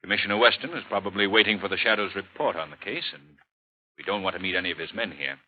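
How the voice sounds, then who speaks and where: An actor playing a suave, observant gentleman detective in a 1930s radio drama, speaking with polished, courteous deliberation on a muffled old broadcast. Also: sits low, fast talker. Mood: calm, observant.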